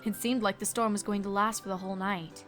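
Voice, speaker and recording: A female narrator reads a scripted story in a medium-pitched voice. Music plays underneath her narration. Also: fairly even intonation, quick pace, a bit bright.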